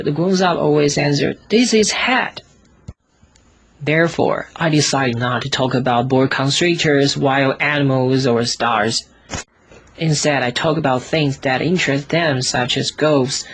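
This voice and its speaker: A man reads a storybook as scripted audiobook narration, in short, careful, deliberate phrases. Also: mid-range voice, fairly even intonation, slight background noise. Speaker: audiobook reader.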